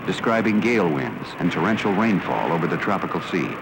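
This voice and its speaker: An American man reading scripted educational film narration in a measured, formal, serious manner. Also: low voice, sing-song, gravelly, background noise. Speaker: documentary narrator.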